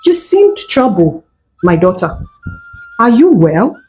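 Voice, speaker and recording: A woman's voice: mid-range voice, very animated, slow, darkish tone, slightly rough, soft background music, full character voice. A woman voicing a wise, motherly village seer who speaks cryptically in a folktale. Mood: concerned.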